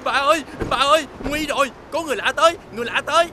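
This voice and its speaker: A man voicing an excitable, soft-hearted little demon in an animated fairy tale, with a childlike manner. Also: extremely high pitch, rapid-fire, slight background noise, full character voice. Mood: alarmed.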